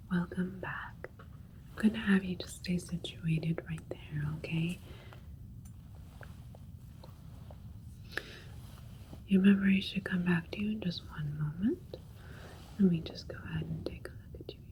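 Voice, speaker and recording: A woman playing a calm, caring alien doctor in an ASMR roleplay, speaking in a soft breathy whisper with a gentle, clinical, reassuring manner. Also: on the low side, a little hiss or hum, close to an everyday voice, rough recording. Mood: soothing, attentive.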